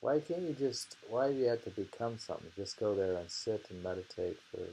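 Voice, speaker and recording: A man chatting casually and reading short poems aloud, musing and pausing between thoughts. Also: lowish voice, warm tone, slight background noise, rough recording. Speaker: poetry reader and discusser. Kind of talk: casual chat with slow poem reading.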